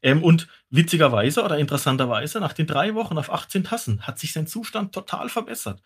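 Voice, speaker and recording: A man's voice: fairly high pitch, animated, fairly quick, husky. A man speaking as an expert podcast guest, explaining things as casual storytelling.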